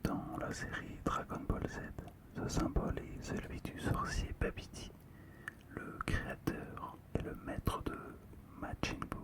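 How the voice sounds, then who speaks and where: Slow whispered ASMR voice. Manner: whispers throughout, slow and hushed. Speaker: ASMR video host. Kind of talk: whispered ASMR card commentary.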